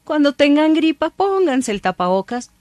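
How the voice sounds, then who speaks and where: A woman doctor, calmly explaining things to a host in an interview, using everyday examples. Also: sits high, moderate pace, no echo. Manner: calm, patient, explains plainly with everyday examples. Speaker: doctor, guest expert.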